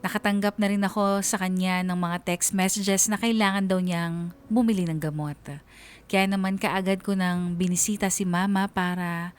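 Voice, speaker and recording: A woman's voice: medium pitch, steady tone, normal speed, clean tone, lightly acted. A woman with a Filipino accent narrating in the first person as a concerned eldest daughter in a radio drama. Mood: earnest, reflective. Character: concerned eldest daughter recounting her family troubles.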